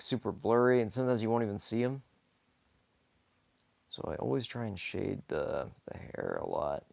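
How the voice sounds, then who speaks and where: A hoarse young man talks casually while sketching live, rambling softly with many fillers and small laughs. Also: on the low side, no echo. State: hoarse, scratchy from talking too much. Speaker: live-streaming sketch artist. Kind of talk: casual commentary while drawing.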